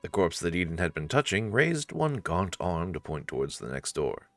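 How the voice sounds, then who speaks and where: A man's voice: low-pitched, big pitch swings, moderate pace, fairly bright tone, faint music behind. A man narrating a visual novel playthrough expressively, with casual asides.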